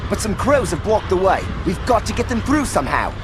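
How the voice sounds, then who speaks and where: A brash young owl cadet talks fast and pushy in a high voice. Loud game music runs behind him. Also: male voice, sing-song. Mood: urgent. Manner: brash, hurried. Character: young male owl cadet, cocky and urgent. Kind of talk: game cutscene voice acting.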